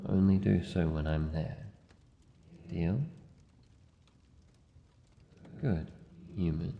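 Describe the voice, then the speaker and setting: An Australian man voicing a solitary shadow monster in a fantasy ASMR roleplay, formal, deliberate, wary but gentle. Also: deep voice, vocal fry, exaggerated voice. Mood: gentle, protective. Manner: slow, deliberate, formal phrasing. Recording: dull muffled audio, long reverb, noisy ambience.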